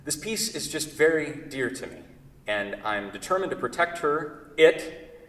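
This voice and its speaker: A man's voice: fairly high pitch, sing-song, unhurried, fairly bright tone, a little hiss or hum. A man in a staged play reading, acting a wordy, fretful furniture craftsman in love with his own creation, often correcting himself. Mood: indignant, firm. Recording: echoey hall, far from the mic.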